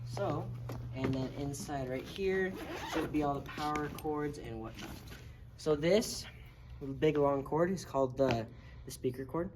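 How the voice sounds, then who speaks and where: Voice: mid-range voice, measured pace. A person giving a casual, step-by-step, hands-on tutorial as an instructor. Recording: noisy amateur video recording, background noise.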